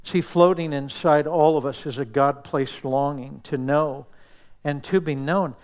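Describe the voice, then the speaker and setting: A man preaching a sermon in an earnest, intimate way, dropping to soft, near-whispered asides. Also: fairly high pitch, unhurried. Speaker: pastor.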